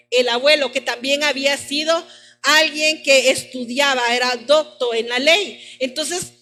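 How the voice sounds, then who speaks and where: A woman's voice: sits high, pushed and bright. A woman preaching a teaching sermon, addressing her congregation and repeating phrases for emphasis. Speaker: preacher at a church service. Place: church service, live-streamed.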